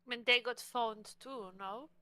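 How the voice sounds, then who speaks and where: A woman's voice: fairly high pitch, steady tone, unhurried, bright, forceful tone, smooth, dry recording. A woman taking part in a tabletop game as a player, chatting and bantering casually.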